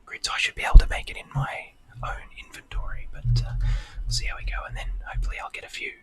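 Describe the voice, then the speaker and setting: Whispering Australian man streaming a game. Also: fast. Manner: soft whispering, rambling with many fillers. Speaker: game streamer reading chat. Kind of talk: whispered live gameplay stream.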